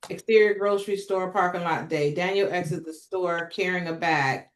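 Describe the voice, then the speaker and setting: A woman's voice: lowish voice, normal speed. A woman reading stage directions as narrator at a script table read, in a roomy recording. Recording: roomy, echoing sound.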